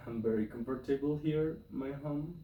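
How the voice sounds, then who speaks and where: A man from Colombia, a native Spanish speaker, talking casually to his viewers in halting English with a Colombian accent from his bedroom. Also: mid-range voice, roomy sound, recorded from a distance, narrow, tinny audio, slight background noise. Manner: halting, informal English with slips. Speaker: video creator. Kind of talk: casual talk to camera. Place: bedroom, indoors.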